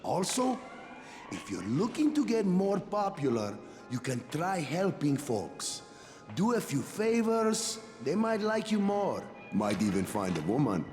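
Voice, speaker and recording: Cynical, very animated male quartermaster. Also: fairly high pitch, a little slow, faint music behind. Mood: sardonic. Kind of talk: video game voice acting. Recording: echoey hall, long reverb.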